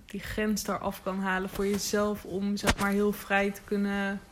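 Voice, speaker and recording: Thoughtful woman in casual conversation, mid pitch. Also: completely flat delivery, unhurried, slight background noise.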